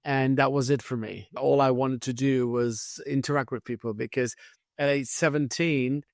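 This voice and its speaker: Lively Turkish-born man telling stories. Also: mid-range voice, normal speed, dry recording, studio quality. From Turkey. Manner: lively storyteller who acts out quoted dialogue. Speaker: head concierge, guest. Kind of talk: podcast interview storytelling.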